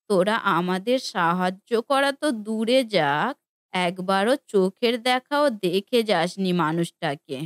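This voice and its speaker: A woman's voice: mid-range voice, no echo, lightly acted. A woman playing a stern, reproachful elderly mother in a cartoon family drama, with an indignant, scolding manner.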